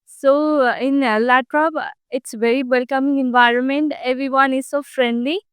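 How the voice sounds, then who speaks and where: A woman's voice: high voice, fairly even intonation, normal speed, smooth, no echo, clean studio sound. A woman from Nepal, a master's student, answering casual questions in a hesitant way with many fillers.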